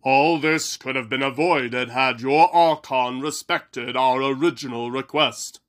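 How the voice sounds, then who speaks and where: A man voicing a proud, regal male bird-like high priest who speaks in a haughty, measured way in a storybook reading. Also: a bit bright, natural voice.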